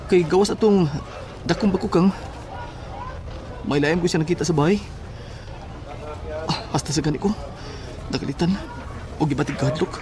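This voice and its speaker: An actor playing a talkative, easily spooked young schoolmate in a horror audio drama, with a Cebuano accent. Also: male voice, a little high, normal speed, long pauses, natural voice. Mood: gossiping, uneasy.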